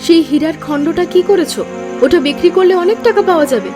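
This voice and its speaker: A woman voicing a greedy cartoon woman who talks in a shrill, quick-tongued way. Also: music in the background.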